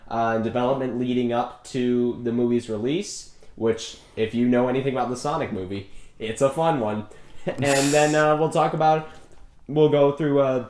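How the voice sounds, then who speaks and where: A man's voice: mid-range voice, steady tone, moderate pace, a little mellow. A man, chatting casually and talkatively as a podcast co-host, with jokey rambling asides. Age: early 20s. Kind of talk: casual chat about a movie. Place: small bare apartment room, indoors. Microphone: individual microphone. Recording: echoey bare apartment room.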